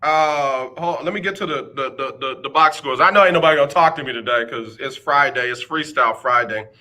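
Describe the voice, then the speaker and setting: A man's voice: medium pitch, a little fast, sharp tone. A man hosting a casual sports talk radio show, teasing listeners with rhetorical questions. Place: radio studio.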